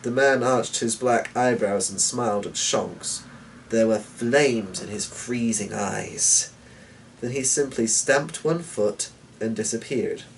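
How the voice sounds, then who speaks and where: A man's voice: fairly low pitch, takes it slowly. A man giving a dramatic narration of a storybook as its reader. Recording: distant mic with noticeable background noise.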